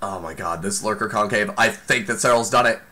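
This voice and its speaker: Excitable man with a tired voice calling a match. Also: fairly low pitch. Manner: excitable, exclaims often, talks fast in bursts. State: losing his voice. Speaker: esports commentator. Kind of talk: excited live play-by-play commentary.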